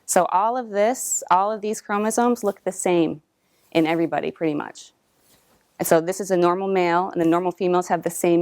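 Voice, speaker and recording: A young adult woman, a lab technologist, giving a friendly, explanatory talk about her work and background, with frequent ums. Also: on the low side. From New England and Southern California. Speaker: guest speaker, cytogenetic technologist. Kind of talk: presentation talk with slides.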